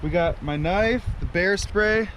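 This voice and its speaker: A loud, chatty young man narrates his hike into a camera mic out on a forest trail, swearing freely as he goes. His voice sits a little higher than most men's, with faint outdoor noise around him. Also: measured pace. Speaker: vlogger hiking a trail. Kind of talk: casual hiking vlog chat. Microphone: GoPro camera.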